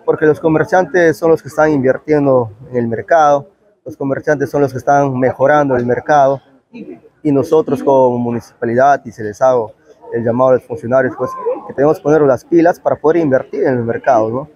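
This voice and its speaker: A man's voice: medium pitch, steady tone, fairly quick, a little mellow, muffled recording. A man in his thirties with a Peruvian Spanish accent, answering an interview as a town councillor, on a lapel mic in a covered market. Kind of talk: spoken news interview answer. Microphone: clip-on lapel microphone.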